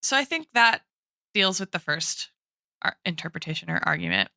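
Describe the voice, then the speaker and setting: Woman podcast host, lowish crisp voice, super dry close sound, picking apart arguments academically, says like and right a lot. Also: expressive, moderate pace, bright, forceful tone, no echo. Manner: academic, hedging with frequent "like" and "right". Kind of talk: analytical discussion.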